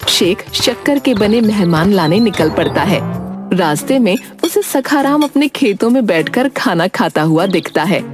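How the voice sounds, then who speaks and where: A woman's voice: medium pitch, expressive, moderate pace, over music. A woman reading scripted narration for a cartoon story.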